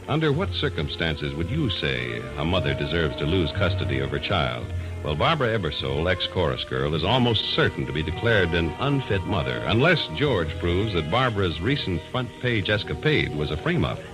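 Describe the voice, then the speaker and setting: Deep, animated old-time American radio announcer. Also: male voice, poor audio. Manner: polished, emphatic. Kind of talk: 1940s-50s scripted radio announcing and narration. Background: music.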